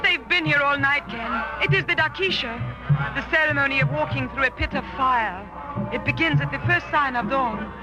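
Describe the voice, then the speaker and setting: Woman acting anxious royal lady, old radio play, really high voice, earnest n dramatic, faint music. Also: normal speed, lightly acted, poor audio. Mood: tense. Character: anxious, devoted royal lady of an exotic land.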